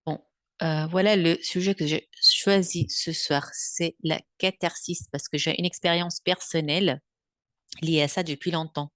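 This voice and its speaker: A woman in her seventies with a Belgian French accent, giving a webinar lecture as a therapist, thoughtful and unhurried, with frequent hesitations, on a computer mic during a video call. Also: sits low, fairly even intonation, a bit bright, no echo, clean studio sound. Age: 70s.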